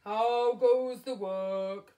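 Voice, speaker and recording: A woman voicing a lazy, demanding old male farmer in a storybook, with a drawn-out, booming call. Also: fairly even intonation, mellow, far from the mic.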